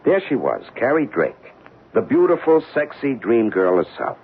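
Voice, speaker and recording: An actor playing a dutiful, matter-of-fact detective husband in a 1970s radio drama, explaining things in a folksy way. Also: male voice, medium pitch, very animated, normal speed, husky. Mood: matter-of-fact, assured. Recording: muffled phone-like old radio broadcast.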